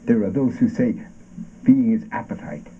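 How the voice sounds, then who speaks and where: An actor playing an anguished philosophical man haunted by his body, declaiming haltingly in an avant-garde stage drama. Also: mid-range voice, lively intonation, lightly acted. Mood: insistent. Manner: halting, theatrical, swooping declamation. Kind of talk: avant-garde theatrical performance of a radio play. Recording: dull, muffled stage recording with noise.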